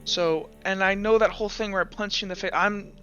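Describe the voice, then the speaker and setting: A man voicing an upset, soft-hearted teenage girl in tabletop roleplay, speaking haltingly and sincerely. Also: high voice, fairly even intonation, dull audio, faint music behind, pitched above the performer's own voice. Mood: grieving, apologetic. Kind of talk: improvised tabletop roleplay dialogue.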